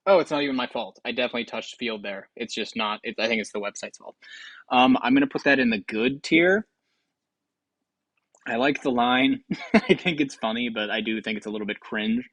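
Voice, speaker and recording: A man gives casual gaming commentary as a video host. He sounds close and dry with a mid-range voice, hesitating and rambling as he thinks out loud. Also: mid-range voice, fairly quick, dry recording.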